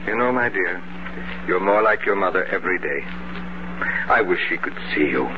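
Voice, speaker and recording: An actor playing a gentle, sentimental middle-aged father, tender and softly teasing, in a 1950s radio drama. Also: deep voice, expressive, moderate pace, noisy background. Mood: tender, nostalgic. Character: gentle, sentimental widowed father of a grown daughter. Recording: dull vintage radio recording with music.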